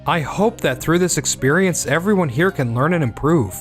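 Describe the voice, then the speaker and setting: A man playing a fair-minded, encouraging office team leader in a manga dub, speaking in a measured, reassuring way. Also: on the high side, expressive, close to an everyday voice. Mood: calm, reassuring. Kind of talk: anime-style manga dub dialogue. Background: background music.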